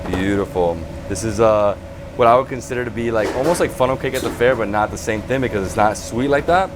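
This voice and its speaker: Male food vlog host, curious and chatty, low-ish voice, loud kitchen background. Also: brisk, background noise. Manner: curious, asks lots of questions. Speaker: travel food vlogger host. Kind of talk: casual on-location chat. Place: restaurant kitchen.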